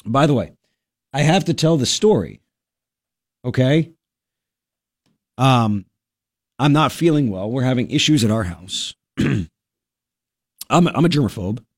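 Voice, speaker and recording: A male radio host telling a story in casual talk-radio style, animated and full of quick asides. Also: mid-range voice, no echo, clean studio sound.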